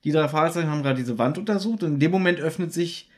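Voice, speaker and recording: A man's voice: fairly high pitch, very fast. A man co-hosting a podcast, casually retelling and discussing the plot of a radio play in detail. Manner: retells plot in detail, animated when joking. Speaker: podcast co-host.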